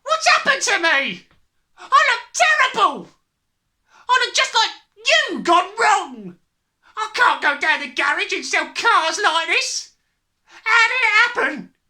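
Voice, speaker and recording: A woman voicing a blustering, panicky, vain middle-aged British father who yells his lines in a storybook. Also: extremely high pitch, big pitch swings, slow pace, voice pushed up, heavily acted. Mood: horrified. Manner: yelling, blustering, exclamatory.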